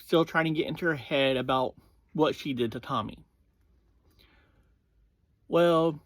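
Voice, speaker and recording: A man hosting a review series, giving a casual, informal recap in halting phrases that often open with "well". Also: a little high, no echo.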